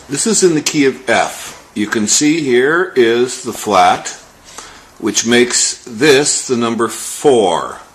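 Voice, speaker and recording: A man teaches a music lesson, explaining things step by step. His pace is extremely slow and measured, and his voice sits at an average male pitch. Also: animated, fairly bright tone, roomy sound. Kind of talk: explanatory music lesson, talking through examples.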